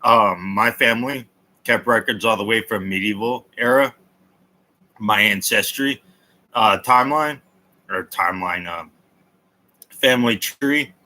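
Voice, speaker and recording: A man's voice: on the low side, a little slow, long pauses, pushed and bright. A man chatting casually on a livestream, rambling between topics and answering viewer comments in a blunt, opinionated way. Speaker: livestream host.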